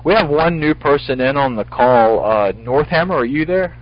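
A man's voice: on the high side, normal speed. A man hosting a casual call-in radio show, rambling and full-voiced, with many restarts. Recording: lo-fi radio stream with faint hiss.

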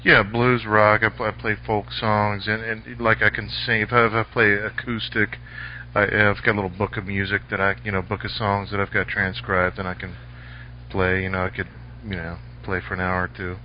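A man on a casual call-in radio show, talking in a halting, rambling way. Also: fairly low pitch, flat delivery. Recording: lo-fi radio stream with faint hiss.

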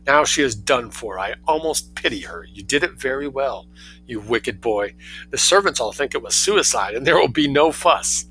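A man reading a story aloud, voicing a sly letter-writer in a hushed, teasing tone. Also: mid-range voice, animated, normal speed, slight background noise, rough recording. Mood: mocking.

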